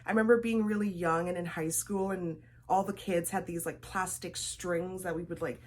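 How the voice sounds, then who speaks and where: A woman's voice: lowish voice, steady tone, moderate pace, a little mellow, slight background noise. A woman from Trinidad, a knitwear designer, chatting casually as a guest and laughing often, with many "like" fillers. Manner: giggly, chatty, lots of "like" fillers. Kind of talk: casual interview chat.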